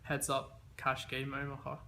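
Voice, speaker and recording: Bright-voiced young man, easygoing. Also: mid-range voice, completely flat delivery, moderate pace, gravelly, a little hiss or hum. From England. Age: 20s. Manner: easygoing, many fillers and restarts. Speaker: interviewee, games player. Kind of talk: casual interview answers.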